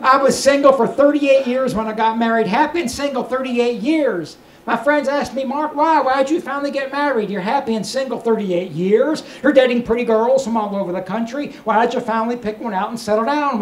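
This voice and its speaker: Man, middle-aged, stand-up storytelling, handheld mic, echoey club, noisy recording. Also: extremely high pitch. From Kentucky, United States. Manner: storytelling delivery, projected to the room. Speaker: stand-up comedian. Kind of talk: stand-up comedy routine with crowd work. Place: small comedy club stage, indoors. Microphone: handheld microphone.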